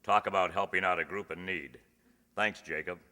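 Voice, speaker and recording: A man's voice: low-pitched, steady tone, moderate pace, sharp tone. A man working as an announcer, giving live thanks to a cast and crew in front of an audience. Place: indoor hall before an audience. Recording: echoey hall, noisy, audience sounds.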